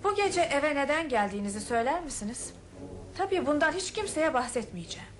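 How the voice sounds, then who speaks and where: A woman's voice: sits high, big pitch swings, a little fast, faint music behind. A woman acting in a radio play as a composed, resolute, suspicious daughter of a wealthy household, speaking in a firm, formal way. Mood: cool, accusing.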